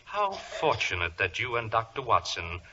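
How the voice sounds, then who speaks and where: An actor playing a suave, gloating criminal mastermind with mock-courteous menace, in the theatrical style of 1940s radio drama. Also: male voice, on the low side, very animated, normal speed. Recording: noisy, narrow old radio recording.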